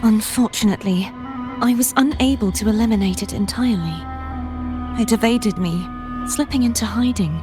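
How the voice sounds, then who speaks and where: An actress playing a solemn, courteous mystical warrior woman in a video game, speaking in a formal, measured, grave way. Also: on the high side, natural voice. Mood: grave, composed. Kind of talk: scripted game dialogue. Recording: studio voice under prominent game music.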